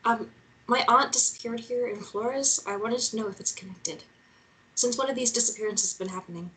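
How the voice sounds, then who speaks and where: A woman's voice: fairly high pitch, moderate pace, bright, forceful tone, slight background noise, low-quality recording. A woman playing an earnest, nervous woman searching for a missing relative, reading hesitantly and naturally at a table read. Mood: hesitant, polite. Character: earnest, nervous young woman seeking her missing aunt.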